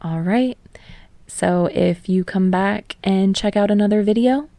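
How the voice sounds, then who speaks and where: A woman's voice: mid-range voice, a little slow, dark tone, no room sound. A woman reading scripted fiction as a podfic narrator, close to the microphone. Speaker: podfic narrator and channel host.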